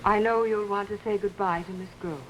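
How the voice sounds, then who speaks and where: An actress portrays a society wife, gracious and frank with an uneasy edge. Her pitch is average for a woman. It sounds like 1950s television drama, with slight noise on the old track. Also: steady tone, a little fast, close to an everyday voice, low-quality recording. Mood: polite, strained.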